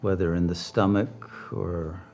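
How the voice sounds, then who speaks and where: A man leading a guided meditation as a teacher, speaking calmly and deliberately with long pauses. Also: sits low, faint music behind.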